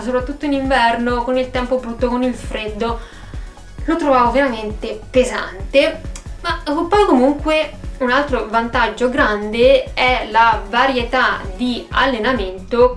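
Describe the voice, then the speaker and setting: A woman in her thirties chatting casually and emphatically to camera as a vlogger, recorded in a room at home. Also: a little high. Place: small room at home, indoors. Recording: roomy, echoey home recording.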